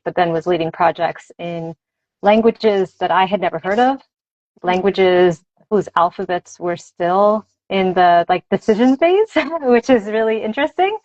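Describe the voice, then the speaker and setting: A woman whose first language is Spanish speaks in a slightly low voice, explaining things in a conversational, teacherly way. She is reflective and keen on her subject. The sound is dry and a little dull, like a livestream. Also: measured pace, no room sound. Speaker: language teacher and advocate, interview guest. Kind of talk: conversational explaining in a live interview. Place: dry close room on a video livestream.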